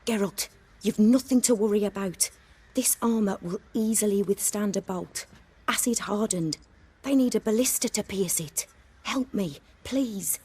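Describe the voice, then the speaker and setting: A determined female armorer in a fantasy game. Her medium-pitched voice is direct and self-assured, that of a proud craftswoman sure of her work. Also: unhurried, dark tone, breathy edge. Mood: reassuring, urgent. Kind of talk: fantasy video game acting.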